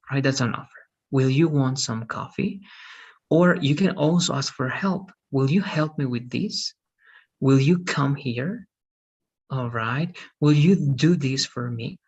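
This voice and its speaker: A man's voice: fairly high pitch, breathy edge, highs cut off. A man in his thirties teaching an online English class, patiently checking understanding with frequent "okay?" and "right?". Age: 30s.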